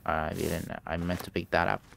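Sick man with a gravelly low voice. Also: little pitch movement, a bit bright, slight background noise. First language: not English. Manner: reads in-game notes aloud haltingly, many fillers. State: sick, voice deeper than usual. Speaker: gameplay streamer. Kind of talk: let's play commentary.

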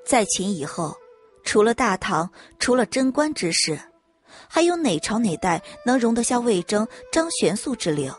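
Female storyteller, scripted dramatic narration, animated pitch, soft music behind. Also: moderate pace. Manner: expressive storytelling. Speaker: audiobook narrator.

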